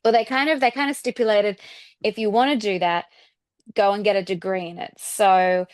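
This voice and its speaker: A woman's voice: medium pitch, steady tone, moderate pace, dry recording. Chatty Australian woman in a dry-sounding interview. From Sydney, Australia. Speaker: guest singer-songwriter. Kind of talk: casual interview chat.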